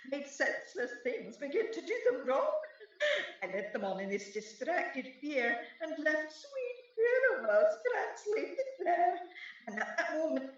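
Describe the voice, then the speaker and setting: Woman acting a high, echoing mischievous sprite. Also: heavily acted. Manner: theatrical verse storytelling, giggling relish. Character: mischievous gleeful sprite servant of the fairy king. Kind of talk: radio Shakespeare acting. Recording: very reverberant, distant, slightly dull sound.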